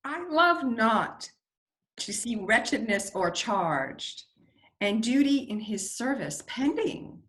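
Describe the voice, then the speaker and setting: A woman reading the part of a gentle, compassionate noble bride in Shakespearean verse at an amateur table read over video call. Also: fairly high pitch, sing-song, takes it slowly, heavily acted. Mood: gentle, reluctant.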